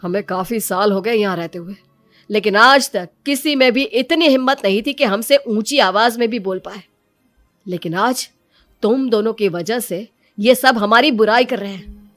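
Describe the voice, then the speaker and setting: Woman playing high-pitched, scolding older mother-in-law. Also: normal speed, faint music behind, lightly acted. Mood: bitter reproach. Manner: stern, reproachful.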